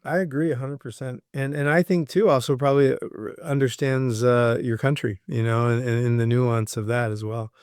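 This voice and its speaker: A man's voice: medium pitch, steady tone, a little fast, hardly any pauses, darkish tone, smooth, dry recording, studio quality. A man hosting a casual interview, asking questions informally and often affirming what his guest says. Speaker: podcast host, interviewer.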